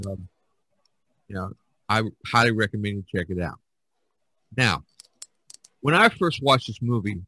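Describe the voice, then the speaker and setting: A man's voice: fairly low pitch, a bit bright, no echo, rough recording. A man hosting an art podcast, talking loosely with many fillers and restarts. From United States. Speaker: podcast host. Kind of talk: casual podcast talk.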